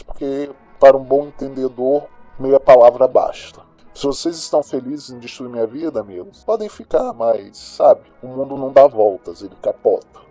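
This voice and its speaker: A man's voice: mid-range voice, warm tone, lightly acted. A Brazilian man playing a cynical, gossipy small-town crime news host in an audio drama, talking in a slangy, sardonic, colloquial way. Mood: bitter, sarcastic. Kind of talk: audio drama monologue as chatty radio host. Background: music.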